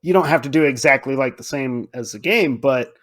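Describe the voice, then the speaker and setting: A man's voice: medium pitch, a little fast, fairly bright tone. A man co-hosting a podcast, chatting casually with strong opinions in colloquial, emphatic language. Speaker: podcast co-host.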